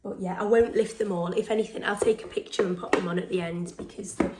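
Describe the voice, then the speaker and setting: A woman with a hoarse, failing voice hosts a casual show-and-tell vlog, chatting excitedly with lots of ums and phrases that drop into a breathy whisper. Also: medium pitch, fast, very noisy. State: hoarse, losing her voice. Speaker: stitching vlog host.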